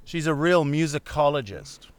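Middle aged guy guesting on a podcast, rambly chat, clear voice a bit on the high side. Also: animated, normal speed, dry recording. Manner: rambling, digressive. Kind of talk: casual chat.

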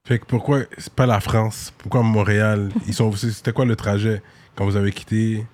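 A man's voice: fairly low pitch, flat delivery, moderate pace, darkish tone. Male host asking casual questions. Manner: short encouraging interjections, casual questions. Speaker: podcast host. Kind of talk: casual interview chat.

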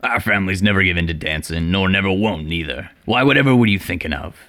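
A man voicing an indignant, easily enraged owl in a storybook, hoarse, with rustic, ungrammatical, scolding speech. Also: on the low side, lively intonation, brisk, sharp tone, full character voice. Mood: huffy, indignant. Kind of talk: storybook character voice.

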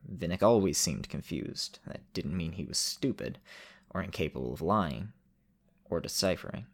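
Male storyteller and show host, deep voice with gravelly fry. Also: animated, normal speed. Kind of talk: narration and casual host talk.

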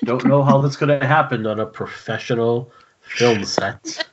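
A man's voice: medium pitch, normal speed, a crowd in the background, natural voice. A man playing a hospital doctor in an amateur table read over an internet call. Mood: matter-of-fact. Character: hospital doctor delivering news. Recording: dull, very noisy internet call, clicks.

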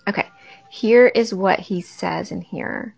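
A woman hosting a faith-based talk, speaking conversationally to her listeners with a raspy early-morning voice. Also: medium pitch, takes it slowly. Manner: warm conversational, addresses listeners directly. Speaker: podcast host. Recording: dull lo-fi recording.

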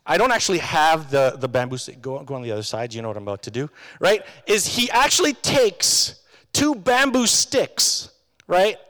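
A man's voice: a little high, sing-song, normal speed, fairly bright tone. A man preaching a sermon in a casual, conversational way, often tagging his points with questions to the congregation. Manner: conversational, tag questions, restarts. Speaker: pastor. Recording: long reverb of a hall.

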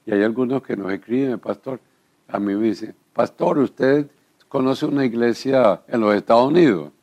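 A man's voice: medium pitch, moderate pace, a little mellow. An older man, a pastor, talking earnestly in a church program conversation.

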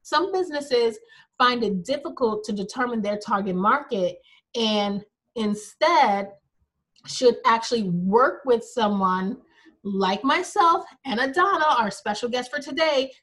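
Podcast host, female, slightly high voice, bright tone, chatty and upbeat. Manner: upbeat, chatty, laughs easily. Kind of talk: casual interview hosting.

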